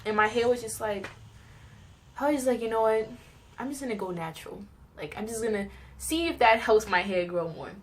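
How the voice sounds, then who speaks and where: A young woman tells personal stories in a casual, chatty vlog style, animated and giggly as she acts out little reactions. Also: on the high side, brisk, a little hiss or hum. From New York, United States. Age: young adult. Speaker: vlogger telling personal stories.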